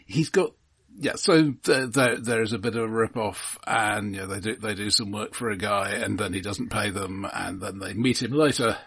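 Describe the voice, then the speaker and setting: A man discusses films casually as a podcast co-host. His voice is a little low and rough-edged, and he feels his way through sentences, correcting himself as he goes. The recording is dry and close. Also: moderate pace, few pauses, a bit bright, no echo.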